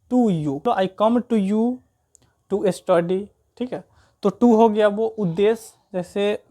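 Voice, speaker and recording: Indian female grammar tutor, medium pitch, soft-edged mellow tone, informal, repeats points step by step. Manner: repetitive step-by-step explaining, frequent check-in tags. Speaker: English grammar teacher. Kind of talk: informal explanatory grammar lesson.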